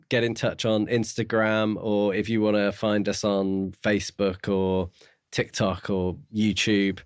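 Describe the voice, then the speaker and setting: A man with a fairly low voice talks as a podcast co-host, recorded dry with little room sound. He is measured and courteous, explaining his thoughts in a casual book-chat style. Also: smooth, dry recording.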